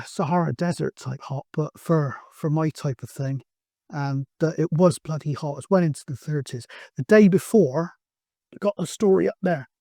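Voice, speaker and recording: A man's voice: fairly high pitch, mellow, no echo, studio quality. A middle-aged man, giving a casual, rambling vlog introduction in a chatty, anecdotal, colloquial way. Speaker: video host, radio hobbyist.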